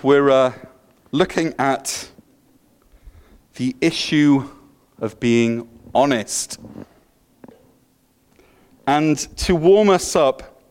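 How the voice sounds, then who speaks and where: A man's voice: mid-range voice, darkish tone. A middle-aged man from Wales with a Welsh accent, preaching a sermon in a joking, self-deprecating way, with a slight stutter and frequent restarts. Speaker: pastor.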